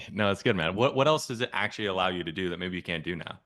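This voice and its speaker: A man's voice: deep voice, animated, quick pace, fairly bright tone. A man coaching on a sales call, asking probing, persistent questions in a casual way.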